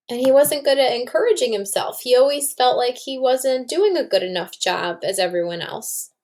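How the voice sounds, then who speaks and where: A woman reading a storybook aloud to children and explaining it like a teacher, with questions to the listeners. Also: high voice.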